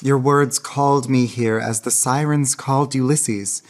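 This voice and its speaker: An actress in a radio play as an ardent, earnest lover with a husky voice and a fervent, poetic delivery. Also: female voice, very deep voice, fairly even intonation, unhurried, a little mellow. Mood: fervent love. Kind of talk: radio theater acting. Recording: music under the dialogue.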